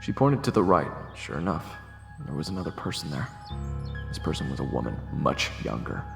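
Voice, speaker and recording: A man's voice: rumbling low, very animated, a little fast, creaky. A man reading scripted first-person story narration for a fantasy audio drama. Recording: echoey, long reverb, faint music behind. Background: music.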